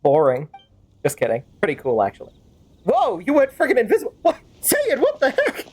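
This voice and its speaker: A woman's voice: on the high side, wildly swooping pitch, brisk, darkish tone, slightly rough. A woman streaming a game with casual, rambling, playful commentary and sudden exclamations. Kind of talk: casual game commentary with in-character fan-dub banter.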